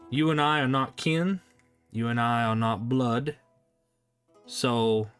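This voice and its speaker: Man doing a drawling old-timer western gunowner, unhurried and folksy, with faint music underneath. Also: mid-range voice, a bit bright. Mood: grave.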